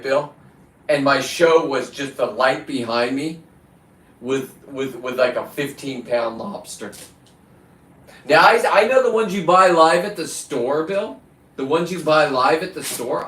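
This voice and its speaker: A man chats away as a livestream host in a very echoey room, standing some way from the microphone. His pitch is mid-range for a male voice. He rambles casually, halting and restarting as he drifts between addressing a companion and his viewers. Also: a bit bright. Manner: halting, digressive, talks to an off-screen Bill and the viewers. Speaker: live stream host. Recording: echoey room, distant from the mic.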